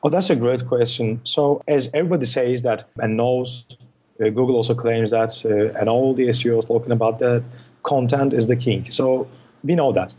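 A man's voice: mid-range voice, moderate pace, darkish tone, husky. A man, a guest on a podcast, explaining his work in lists with many fillers and restarts. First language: Turkish. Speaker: guest, search marketing consultant. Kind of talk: podcast interview answers.

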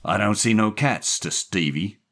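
Man doing a gruff, menacing American gangster. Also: low-pitched, lively intonation, measured pace, a bit bright, voice pushed up, full character voice. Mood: angry, suspicious. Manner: barking, slangy threats. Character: menacing, short-tempered male American gangster.

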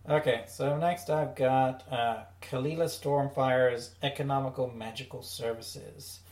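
A man's voice: mid-range voice, steady tone, unhurried, a little mellow. A man co-hosting a podcast in casual, rambling, enthusiastic conversation. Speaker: podcast co-host. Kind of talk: casual discussion of audio dramas. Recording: echoey room, distant from mic.

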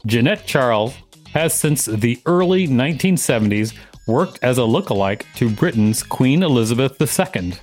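A man's voice: medium pitch, animated, normal speed, darkish tone, soft background music. A man co-hosting a podcast, reading articles aloud and breaking into casual banter. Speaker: podcast co-host.

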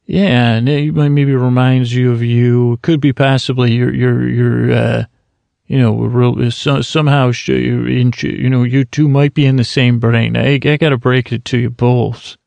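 A man hosting a bedtime podcast who rambles in a meandering, halting way, stretching out some words slowly to lull listeners to sleep. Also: medium pitch, level tone, gravelly, darkish tone, dry recording. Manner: meandering, halting, drifting into slow drawn-out words. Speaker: podcast host.

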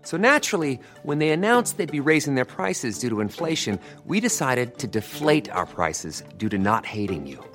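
Wry, animated male ad spokesman. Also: moderate pace, few pauses, music in the background. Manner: wry, sarcastic pitch. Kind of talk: scripted comedic ad.

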